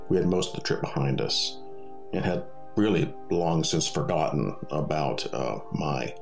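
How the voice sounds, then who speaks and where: A man's voice: low-pitched, gravelly. A man telling a personal story in a conversational, wry and wordy way. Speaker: storyteller reading own experience.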